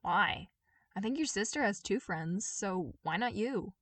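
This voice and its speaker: A woman's voice: medium pitch, lively intonation, a little slow, fairly bright tone, dry recording, far from the mic. A blunt, bossy kid girl from a storybook adventure, played by a woman. She is demanding and tough, with a caring streak. The sound is dry, a little away from the mic. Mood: blunt, insistent.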